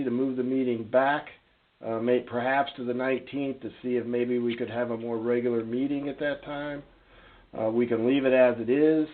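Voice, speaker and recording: Courteous man reporting over a noisy phone line. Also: medium pitch. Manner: deferential, courteous. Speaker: town staff official presenting to council. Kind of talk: formal meeting report. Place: conference call. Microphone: telephone. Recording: tinny phone line with faint noise.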